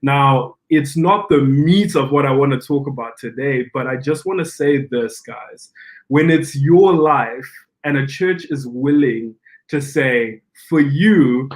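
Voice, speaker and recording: A young adult man from Zimbabwe, a pastor, telling his story in a casual podcast chat, animated, often restarting and acting out quoted dialogue. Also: medium pitch, takes it slowly.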